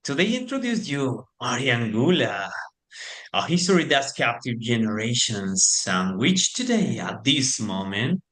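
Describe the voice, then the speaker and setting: Male storyteller: theatrical, animated intonation, forceful bright voice, halting non-native English delivery. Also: mid-range voice. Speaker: narrator. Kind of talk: theatrical scripted narration.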